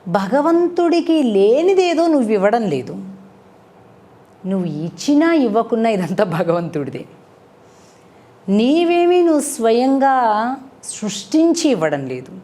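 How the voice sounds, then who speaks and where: A woman's voice: on the high side, very animated, a little slow, long pauses, dark tone. A woman gives a devotional discourse with moral storytelling, teaching conversationally and putting rhetorical questions to her listeners. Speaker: spiritual speaker and storyteller.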